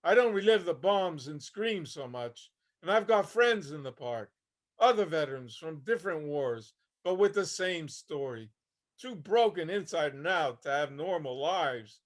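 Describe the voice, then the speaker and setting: A man acting a haunted, homeless older Vietnam veteran, weary and bitter, telling his story plainly in a staged play reading. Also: fairly high pitch. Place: online video call reading.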